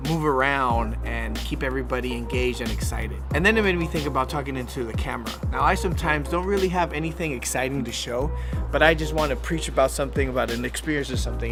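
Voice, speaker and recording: A man vlogging in a casual, conversational way, giving informal advice to camera with some emphasis. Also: medium pitch. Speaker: YouTube vlogger.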